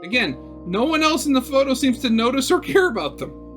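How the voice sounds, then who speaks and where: A man's voice: extremely high pitch, very animated, fairly quick, fairly bright tone, soft background music. A man narrating a visual novel aloud as a gaming host, switching between storybook-like reading and offhand, sarcastic commentary.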